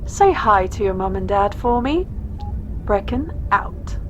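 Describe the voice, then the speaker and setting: A woman's voice: sing-song, takes it slowly. A woman playing a poised, flirtatious officer in an audio drama, coy and teasing in manner. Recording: faint vehicle-like background rumble.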